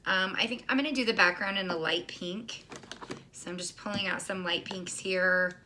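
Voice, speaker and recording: Middle-aged woman, kinda scratchy and hoarse, lower voice, lots of ums while she shows her paints. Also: moderate pace. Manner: chatty, many ums and restarts. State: hoarse, recovering lost voice. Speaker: painting instructor. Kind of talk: casual tutorial talk.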